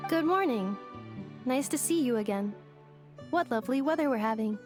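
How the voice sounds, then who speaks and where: A composed female android, voiced by a woman in a video game, speaking in exact, formal phrases with a slightly high voice. Her manner stays literal and polite. Game music plays clearly behind her. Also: moderate pace, lightly acted. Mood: inquisitive. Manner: flat, precise, formal machine-like phrasing. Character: female android, calm literal-minded robot. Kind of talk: game voice acting. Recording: game dialogue over music. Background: game score music.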